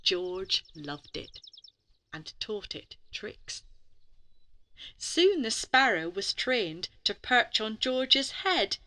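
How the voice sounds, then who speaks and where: A woman storyteller narrating a children's bedtime story in a dramatic, deliberate way, with pauses and breathy emphasis. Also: mid-range voice, sing-song, sharp tone. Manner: addresses young listeners with questions. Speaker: storyteller for a gallery's bedtime stories.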